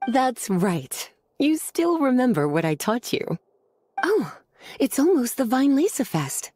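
A woman playing a gentle, teasing young witch and teacher in a video game, soft-voiced and nurturing. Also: sits high, sing-song, slow, a little hiss or hum, exaggerated voice. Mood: encouraging. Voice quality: velvety, soft. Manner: nurturing, coquettish, leisurely explanatory. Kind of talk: video game character acting.

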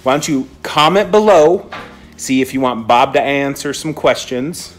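A man's voice: medium pitch, lively intonation, measured pace. A man in his thirties, a print shop coworker, joking casually into a phone held at arm's length. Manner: jokey, chatty, self-mocking. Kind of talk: casual chat to camera. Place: print shop storeroom indoors.

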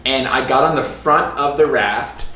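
A man's voice: medium pitch, measured pace, pushed and bright, recorded from a distance, a little hiss or hum. A young man chatting casually on a couple's vlog from his living room, joking and rambling with self-mocking asides. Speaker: vlogger. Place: home living room, indoors. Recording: roomy echo with faint music.

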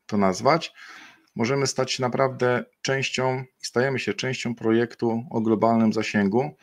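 Low-voiced man presenting a webinar. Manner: unscripted, many fillers and self-corrections. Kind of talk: explaining a partner program over slides.

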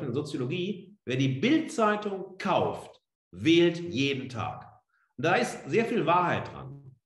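A middle-aged man giving an academic lecture online as a professor, emphatic, with rhetorical questions and frequent tag words. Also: fairly high pitch. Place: indoor room, on a webcam video feed. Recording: roomy, echoing indoor sound.

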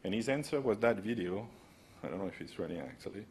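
Male speaker, 40s, low register, casual technical presenter, podium mic, light room ambience. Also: animated, fast talker, darkish tone, a little hiss or hum. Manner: casual explaining with frequent "right?" tags. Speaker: conference speaker. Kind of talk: technical conference talk. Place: conference room, large indoor hall. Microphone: podium microphone.

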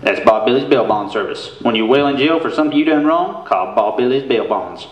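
A man playing a folksy, emphatic bail bondsman pitchman in a spoof radio commercial. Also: expressive, fairly quick, lightly acted. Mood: hucksterish. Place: theater stage with stand microphone. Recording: echoey stage hall.

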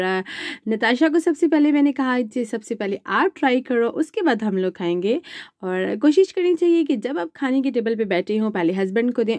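Chatty woman with a warm voice. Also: a little high, dry recording. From Pakistan. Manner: chatty, polite with frequent "janab" and "ji". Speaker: cooking vlogger and mother. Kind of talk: casual cooking voice-over. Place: home.

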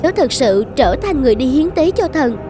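An earnest young chief's daughter in a cartoon folk tale. Her voice is high and her manner gentle, dutiful and pleading, over clearly heard music. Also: very animated, a little fast. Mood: reassuring. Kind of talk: Vietnamese cartoon fairy-tale dubbing.

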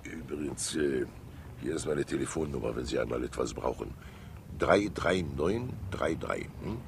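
Very deep, gravelly, theatrical old councillor. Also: male voice, expressive, exaggerated voice. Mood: genial, wry. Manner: theatrical, jovial, rambling, with pauses and asides. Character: fat, wily, talkative state councillor who loves teasing people. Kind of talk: 1960s radio crime drama acting. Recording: hissy 1960s radio recording.

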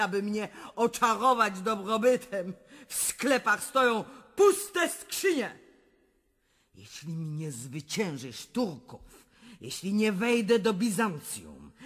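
Imperious Russian empress, theatrically volatile. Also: female voice, a little high, exaggerated voice. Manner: commanding, theatrical, swinging between murmurs and shouts. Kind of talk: theatrical radio drama acting.

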